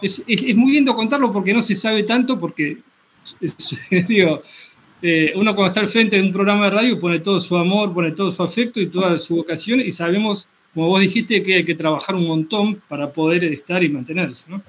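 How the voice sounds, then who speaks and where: Man, Argentine accent, high-pitched, conversational interviewer, faint background noise. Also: brisk, a bit bright. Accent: Argentine Spanish. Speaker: workshop teacher, interviewer.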